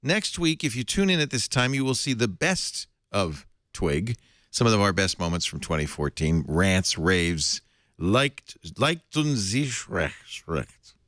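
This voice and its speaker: Animated, laughing male show host. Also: fairly low pitch, takes it slowly, no room sound, studio quality. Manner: jokey, laughs often, animated delivery. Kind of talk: casual tech show banter.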